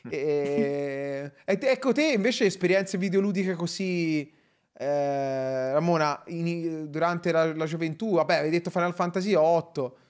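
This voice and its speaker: A man with a fairly high voice hosts an informal group stream. He talks casually, often trailing off into hesitant asides as he moves the conversation along. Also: measured pace, darkish tone, smooth. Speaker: host of a group live stream. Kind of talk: casual chat. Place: indoor room at home, group video call.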